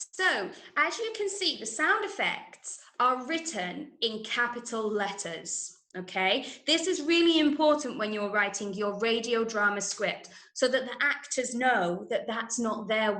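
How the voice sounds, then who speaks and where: A woman from Manchester with an English accent teaching an online workshop to school pupils in a clear, emphatic, instructive manner, often checking they understand. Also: a little high, expressive, unhurried, pushed and bright, roomy sound. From Manchester, England. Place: indoors, online video call.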